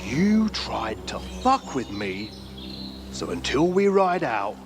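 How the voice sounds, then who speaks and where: An actor playing a sneering, menacing medieval bandit chief in a video game, coarse and mocking. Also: male voice, medium pitch, big pitch swings, slow pace, over music. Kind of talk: video game cutscene acting.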